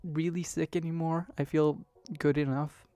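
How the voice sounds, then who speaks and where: Hoarse young man chatting on stream. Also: a little high, little pitch movement, normal speed, dark tone, dry recording. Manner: rambling, chatty, laughs easily. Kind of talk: casual live-stream chat. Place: home bedroom.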